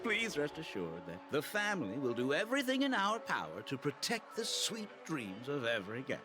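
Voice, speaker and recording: An actor playing an animated townsman in a video game, gossipy yet politely official. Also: on the high side, moderate pace, over music. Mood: polite, reassuring.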